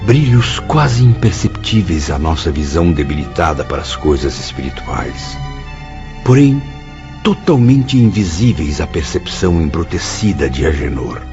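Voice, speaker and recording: A man's voice: a bass voice, very animated, normal speed, heavily acted. A Brazilian man playing an earnest, inquiring spirit apprentice in a radio drama. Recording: dull audio, faint music.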